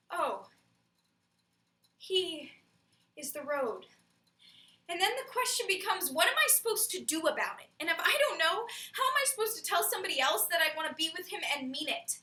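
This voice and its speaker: A woman's voice: very high-pitched, pushed and bright, pitched above the performer's own voice, full character voice. A woman performing a stage monologue as a troubled young woman who questions love and herself emphatically. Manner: searching, rhetorical, emphatic questioning. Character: troubled young woman agonizing over love and self-doubt. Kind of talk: theatrical dramatic comedy monologue. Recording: echoey room, far from mic.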